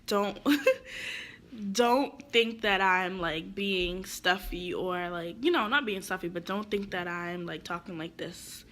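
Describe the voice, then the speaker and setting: A woman's voice: medium pitch, takes it slowly, a bit bright. A young woman giving casual real estate advice to camera, her voice stuffy from being sick, chatty and rambling with lots of fillers.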